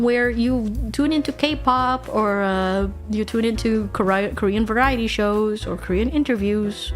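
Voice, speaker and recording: A young woman from Indonesia, streaming a casual chat, swearing freely, laughing often and answering her chat. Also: fairly high pitch.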